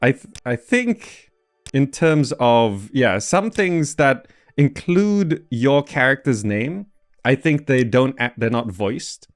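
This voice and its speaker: A man's voice: mid-range voice, animated, takes it slowly, soft background music. A man giving casual gameplay commentary, thinking aloud in a hesitant way as he goes. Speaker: gamer presenting a game mod.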